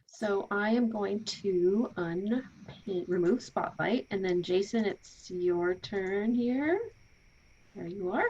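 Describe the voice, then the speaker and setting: A woman runs an online career panel and asks brisk, polite questions. Her voice comes over a video call sounding muffled and dull, and she sits a little away from the mic. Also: medium pitch, darkish tone, recorded from a distance, slight background noise. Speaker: career services moderator.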